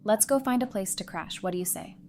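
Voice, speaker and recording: A woman's voice: mid-range voice, a little fast, a little hiss or hum, natural voice. A woman playing an upbeat, teasing expectant mother and loyal best friend in an audio drama. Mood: relaxed.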